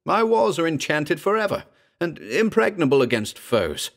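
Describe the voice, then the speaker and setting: A man's voice: fairly high pitch, big pitch swings, moderate pace, gravelly, pitched above the performer's own voice, full character voice. A man putting on a terrified trapped man. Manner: panicked, raised voice. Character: terrified grown man trapped behind walls.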